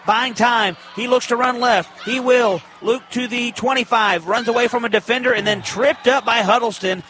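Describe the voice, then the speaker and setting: A man with a high, forceful voice calls college football play-by-play for radio. His calls come in short, clipped present-tense phrases. Light stadium noise sits behind him. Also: steady tone, normal speed. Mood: excited.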